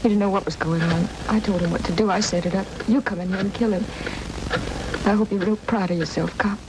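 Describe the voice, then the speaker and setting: A woman's voice: medium pitch, fairly even intonation, brisk, close to an everyday voice. An actress playing a bitter, distraught woman who accuses people emotionally, in an old-time radio drama. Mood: bitter, grieving. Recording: noisy old radio broadcast with music.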